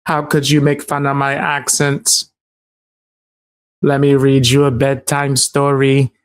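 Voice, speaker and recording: A man putting on a mocking, drawn-out imitation of an easily offended, creepy storytelling man. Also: mid-range voice, completely flat delivery, smooth, close to an everyday voice. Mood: indignant, mocking.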